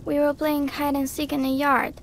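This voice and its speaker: High-voiced worried little girl. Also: completely flat delivery, moderate pace, slight background noise. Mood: sad, worried. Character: small, worried little sister, sweet and childlike. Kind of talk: video game character dialogue.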